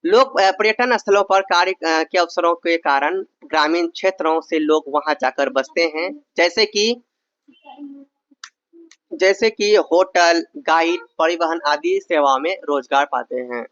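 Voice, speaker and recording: Young male exam tutor from Bihar; high voice, conversational explainer; close, dead room, muffled lo-fi sound. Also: measured pace, a bit bright, dry recording. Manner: explains in casual asides, often asks "understand?". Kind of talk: exam-prep lesson, reading answers and explaining.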